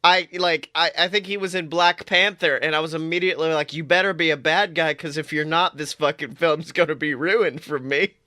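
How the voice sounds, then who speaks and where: A man with a bright, slightly high voice chats on his podcast, animated and quick to swear and laugh. The sound is dry and close, from a studio mic. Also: no room sound. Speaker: podcast co-host. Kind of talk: casual chat.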